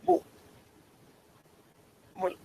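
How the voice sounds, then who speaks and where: A man hosting a casual review discussion, talking in a halting way with repeated words. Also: dark tone.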